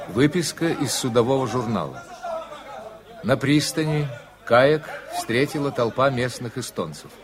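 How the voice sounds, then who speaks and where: A man's voice: medium pitch, measured pace, close to an everyday voice. A man acting as the formal reader of a ship's log in a radio drama, with declarative, scripted delivery. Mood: formal, reporting. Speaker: narrator in a radio play. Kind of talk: scripted documentary-like narration. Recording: noisy crowd behind the voice.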